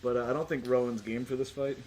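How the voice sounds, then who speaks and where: Male game master, mid pitch, jokey and casual, loose with swearing, some background noise and computer clicks. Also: steady tone, fast talker, a little mellow. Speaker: game master of a tabletop role-playing game. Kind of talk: casual, joking live-streamed game narration. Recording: clear background noise, keyboard and mouse clicks.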